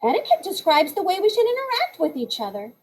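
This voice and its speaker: Teacher lady putting on a snooty know-it-all schoolgirl, high, sing-songy, bossy about manners. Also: huge pitch swings, fast talker, a little hiss or hum, full character voice. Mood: prim. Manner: preachy, sing-song and prim.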